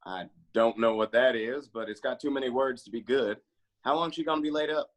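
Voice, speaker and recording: A man's voice: mid-range voice, fairly quick, lightly acted. A man playing a goofy, teasing cowboy bar worker in a screenplay table read, jokey and folksy. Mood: folksy, wry.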